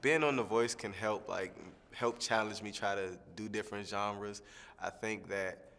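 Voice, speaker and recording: A young man, a singing contestant, answering interview questions in a relaxed, rambling way with lots of fillers. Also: fairly low pitch, unhurried, vocal fry, long reverb tail. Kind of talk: press junket soundbite interview.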